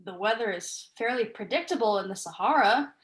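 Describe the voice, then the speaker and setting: A young woman playing a brisk, probing, sceptical writer in a staged play reading. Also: fairly high pitch, lively intonation, close to an everyday voice, poor audio. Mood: dry. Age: young adult.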